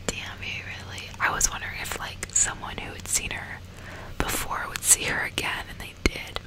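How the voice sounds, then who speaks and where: A woman whispering in a breathy, unvoiced hush, as an ASMR host reading aloud in an unhurried way. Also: low-quality recording. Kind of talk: whispered ASMR audiobook reading with casual asides.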